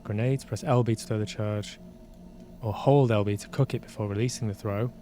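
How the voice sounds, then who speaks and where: Low-voiced man streaming a game. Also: steady tone, brisk, dark tone, a little hiss or hum. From England. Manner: casual asides between read-out passages. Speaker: game streamer and podcast host. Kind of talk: live gameplay commentary, reading on-screen text aloud.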